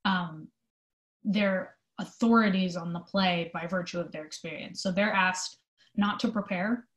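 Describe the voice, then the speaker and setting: Lowish woman's voice, echoey room, earnest and thoughtful, chatting thru an interview casually. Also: steady tone, a little slow. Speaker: theater company manager being interviewed. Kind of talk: conversational interview answers.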